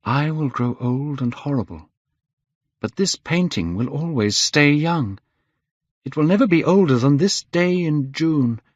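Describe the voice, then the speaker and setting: A man's voice: fairly high pitch, lively intonation, unhurried, dry recording, highs cut off, voice pushed up. A man voicing a beautiful, petulant young man in a fervent, dramatic, aggrieved storybook manner. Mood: sad, wistful.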